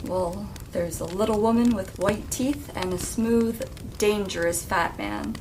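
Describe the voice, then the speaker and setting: A woman playing a manipulative young femme fatale who pleads for help in a breathy, theatrical way, in an amateur audio drama. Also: mid-range voice, measured pace, noisy background, close to an everyday voice. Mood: guarded.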